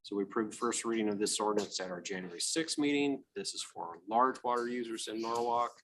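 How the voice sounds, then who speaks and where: A man with a Midwestern American accent chairing a city council meeting, moving briskly through agenda items. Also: lowish voice, a bit bright, a little hiss or hum. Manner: brisk, procedural.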